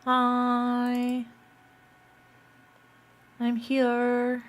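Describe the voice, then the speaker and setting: A woman's voice: on the high side, completely flat delivery, drawn-out words. A woman hosting a casual gaming livestream, talking hesitantly and often repeating her phrases. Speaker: streamer, host. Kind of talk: casual livestream chat, reading visual novel text aloud.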